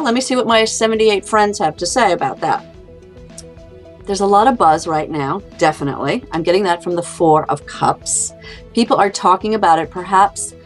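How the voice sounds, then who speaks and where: A woman giving a casual, unscripted tarot reading in a conversational, musing way. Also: lowish voice. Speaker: tarot card reader. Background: faint music.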